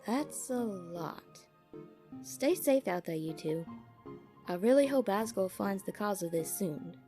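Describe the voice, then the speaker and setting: A woman's voice: mid-range voice, animated, unhurried, dark tone, faint music behind, natural voice. A woman playing a worried, motherly monster townswoman in an audio drama, speaking informally and a little hesitantly. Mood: concerned, fond.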